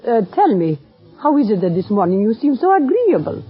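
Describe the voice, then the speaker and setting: An actress playing a haughty, hot-tempered middle-aged opera diva, dismissive and theatrical, in 1940s radio style. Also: female voice, fairly high pitch, sing-song, fairly quick, slightly rough, soft background music. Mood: angry, suspicious. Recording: muffled vintage radio recording.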